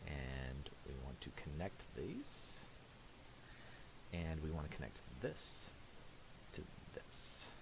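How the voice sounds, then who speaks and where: A man presenting a casual software tutorial in a halting, mumbled way, on a hissy home recording with clicks. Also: very deep voice, very animated, gravelly.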